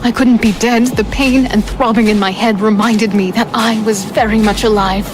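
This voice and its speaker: A woman playing a feisty, defiant warrior who narrates her own dark fantasy audio drama in a dramatic first-person style. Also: fairly high pitch, fairly even intonation, normal speed, noisy background, close to an everyday voice. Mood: fearful, dazed. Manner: dramatic, indignant in dialogue.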